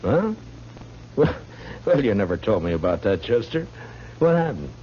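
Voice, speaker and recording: Very deep-voiced, terse frontier marshal. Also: male voice, very animated, mellow. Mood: curious. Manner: terse, dry, deliberate. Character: grim, laconic frontier marshal in the Old West. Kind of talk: 1950s radio western acting. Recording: muffled, noisy 1950s radio recording.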